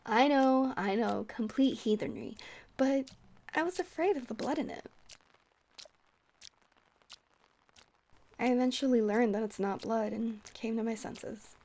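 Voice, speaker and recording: A woman's voice: on the high side, moderate pace, lightly acted. A woman playing a shy, friendly vampire who rambles hesitantly and flirts sweetly in an ASMR roleplay. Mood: playful, teasing. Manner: hesitant, rambling, soft-hearted, awkwardly stammering.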